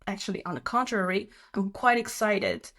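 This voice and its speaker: A woman from China speaks English as a podcast guest. She is chatty and relaxed, laughs often, and pauses on fillers as she tells her story. Her voice sits at an ordinary middle pitch. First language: Chinese. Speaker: guest, company co-founder. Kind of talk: casual interview.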